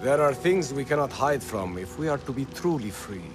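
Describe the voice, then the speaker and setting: An actor playing a fervent rebel leader rallying freed slaves in a dramatic video game. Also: male voice, mid-range voice, animated, normal speed, over music, close to an everyday voice. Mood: grave, resolute.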